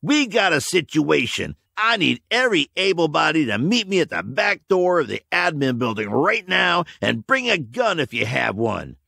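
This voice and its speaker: A man's voice: a little high, sing-song, normal speed, vocal fry, few pauses, pushed and bright, dry recording, clean studio sound, pitched above the performer's own voice, heavily acted. Man voicing a barking militia commander. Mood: urgent. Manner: barking urgent orders. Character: gruff male militia leader, commanding.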